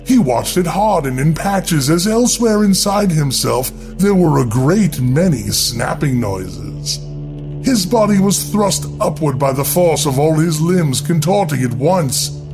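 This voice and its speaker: Male horror storyteller: higher-pitched voice, deliberate theatrical delivery, very animated intonation, over music. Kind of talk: dramatic scripted horror narration. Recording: music bed under the voice.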